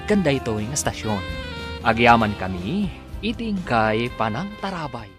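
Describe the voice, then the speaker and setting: A man's voice: mid-range voice, expressive, moderate pace, over music. A man with an Ilocano accent announcing a radio drama in dramatic, scripted narration.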